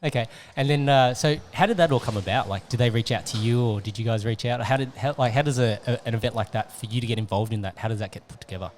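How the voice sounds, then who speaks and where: A man's voice: mid-range voice, fast, few pauses, slight background noise. A man hosting an interview, asking rambling questions full of fillers. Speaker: podcast host. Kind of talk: casual interview chat.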